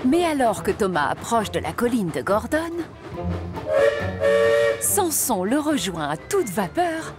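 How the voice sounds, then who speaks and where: A woman's voice: fairly high pitch, sing-song, unhurried, pauses often. Woman narrating a cartoon story. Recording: music bed under the narration.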